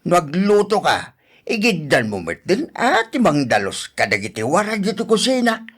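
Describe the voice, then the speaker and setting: An actor playing a grumpy, nagging husband in an Ilocano radio drama, with an Ilocano accent and a complaining, exclamatory way of talking. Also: male voice, high-pitched, huge pitch swings, normal speed, a bit bright, heavily acted. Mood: irritated.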